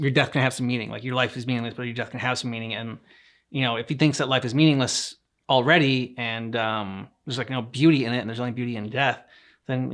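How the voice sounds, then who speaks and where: A man co-hosting a game reaction show, chatting casually in a halting, loosely worded way as he thinks aloud. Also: medium pitch, gravelly, fairly bright tone. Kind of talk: casual chat.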